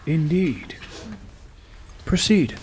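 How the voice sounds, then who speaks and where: Skeptical presiding judge male actor, pompous and formal, higher-pitched with lively swoops, audio drama. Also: very slow, faint music behind. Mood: skeptical, stern. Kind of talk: courtroom audio drama acting.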